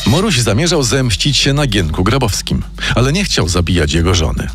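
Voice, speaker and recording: A man reading a scripted true-crime story in a measured, dramatic storytelling manner. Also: on the low side, big pitch swings, a bit bright. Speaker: narrator. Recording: music playing underneath.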